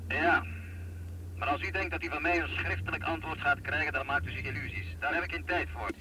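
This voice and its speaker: An actor playing an impatient, condescending police commissioner in a radio play, brusque and dismissive. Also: male voice, a little high, brisk, sharp tone. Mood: irritated, brusque. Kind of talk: Dutch-language radio play acting. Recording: distant, noisy telephone-style radio recording.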